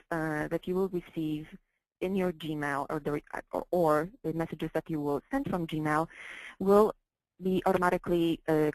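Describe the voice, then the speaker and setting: A woman with a low voice and noticeable vocal fry leads a software training session. She explains slides step by step, carefully and a bit haltingly, repeating herself as she goes. The sound is dry and close. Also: no room sound, poor audio. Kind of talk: slide-based training walkthrough.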